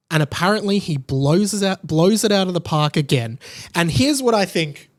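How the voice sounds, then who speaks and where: A man hosting a podcast, talking casually and emphatically in an informal, gushing fan style. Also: fairly high pitch. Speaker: podcast host. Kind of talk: casual opinionated podcast monologue about pop culture.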